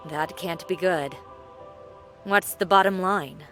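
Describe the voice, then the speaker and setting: A woman's voice: lowish voice, slow. An actress playing a tough, defiant, hot-tempered military agent in a video game, blunt and sardonic in her delivery. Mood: sardonic, probing. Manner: blunt, challenging, dramatic. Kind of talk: video game cutscene acting. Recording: music under the voice.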